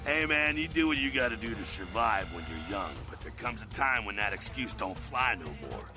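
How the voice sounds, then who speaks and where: An actor playing a cynical, streetwise old-hand vampire rebel in a video game, with slangy, mocking talk. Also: male voice, medium pitch, lively intonation, a little fast, music in the background, close to an everyday voice. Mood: preachy, mocking. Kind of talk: video game character acting.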